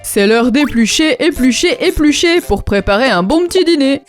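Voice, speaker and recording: A woman's voice: sits high, expressive, normal speed. A woman voicing a bossy, playfully stern grown-up who minds little ones in a rhyming children's cartoon, scolding and instructing. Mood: teasing, bossy. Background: music.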